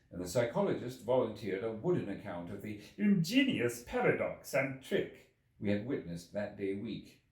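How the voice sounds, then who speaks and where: A man reading a literary audiobook expressively, with measured, theatrical phrasing. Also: fairly low pitch, a little mellow. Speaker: audiobook reader. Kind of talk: expressive scripted literary narration. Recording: echoey room, distant from the mic.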